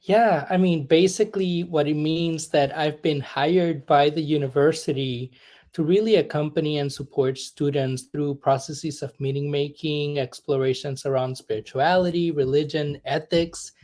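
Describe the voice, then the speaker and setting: Reflective chaplain from Puerto Rico. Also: male voice, on the high side, fairly even intonation, normal speed, darkish tone. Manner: reflective, searching, many ums and "right?". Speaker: humanist chaplain, guest. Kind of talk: conversational interview.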